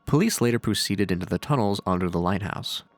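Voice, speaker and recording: A man's voice: fairly low pitch, animated, quick pace, no room sound. A man narrating scripted commentary on horror with casual, dryly sardonic asides. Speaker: video narrator and reviewer. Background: faint music.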